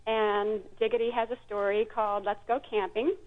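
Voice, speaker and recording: A woman's voice: mid-range voice, level tone, moderate pace. A woman guest, a children's book creator, explaining products conversationally over a phone line. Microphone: telephone. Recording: thin phone line call.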